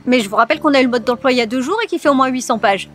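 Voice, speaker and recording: High-voiced woman, bossy and curt, fan audio drama, soft music under. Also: very fast. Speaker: time-travel agent character. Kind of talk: amateur audio drama acting.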